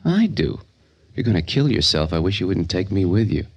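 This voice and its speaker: An actor playing the blunt, taunting, impatient inner self of a grieving young man in a radio drama, with a husky voice. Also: low-pitched, big pitch swings, brisk, full character voice. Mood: impatient goading. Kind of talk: old-time radio drama acting.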